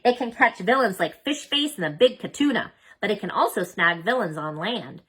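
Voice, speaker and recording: Woman playing a kindly, animated inventor dad. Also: medium pitch, normal speed, husky. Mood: proud, explaining. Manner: gentle, explanatory. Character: proud, kindly inventor father. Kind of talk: children's storybook read-aloud.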